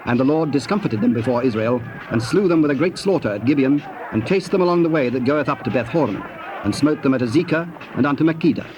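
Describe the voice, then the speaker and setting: A man narrating a scripted, dramatized scripture reading in a solemn, measured manner. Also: medium pitch, expressive. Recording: muffled old cassette, faint noise.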